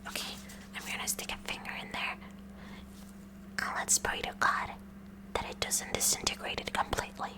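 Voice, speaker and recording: A woman voicing a kooky, curious high school science club president in a halting, excitable breathy whisper for an ASMR roleplay. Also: natural voice. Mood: nervously probing.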